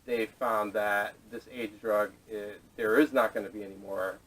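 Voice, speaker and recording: A man's voice: lowish voice, steady tone, moderate pace, fairly bright tone, slight background noise. Distant-sounding man hosting a casual podcast. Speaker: podcast host introducing topics. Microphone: camera mic, away from speakers. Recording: distant camera microphone audio.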